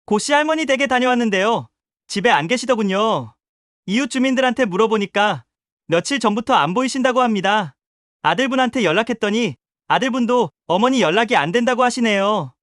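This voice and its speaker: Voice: mid-range voice, lively intonation, a little fast, a bit bright, no echo, clean studio sound, natural voice. An actor playing a polite, businesslike detective in an audio drama. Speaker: police detective.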